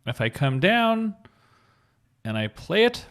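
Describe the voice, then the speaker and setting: A man's voice: high voice, very animated, takes it slowly. A man giving an upbeat, informal screencast coding tutorial, explaining things step by step. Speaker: web developer giving a tutorial.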